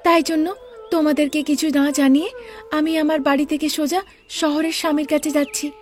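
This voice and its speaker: A woman's voice: high-pitched, little pitch movement, a little fast, darkish tone, faint music behind, pitched above the performer's own voice, close to an everyday voice. A woman voicing a naive, stubborn young village bride in a cartoon, earnest, emphatic and pleading. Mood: tearful resolve.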